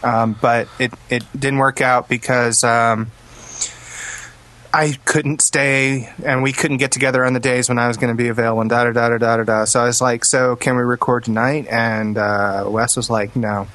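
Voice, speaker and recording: A man's voice: mid-range voice, flat delivery, normal speed, a bit bright. A man co-hosting a podcast, telling stories casually in a dry, sarcastic way. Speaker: podcast co-host. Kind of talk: casual chat and storytelling.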